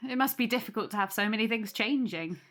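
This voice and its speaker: Audio drama: welcoming peacemaker, gentle manner, lightly high voice, soft music underneath. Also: female voice, expressive, quick pace. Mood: reassuring. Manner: hospitable, soothing. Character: kindly, welcoming woman hosting at Christmas, eager peacemaker.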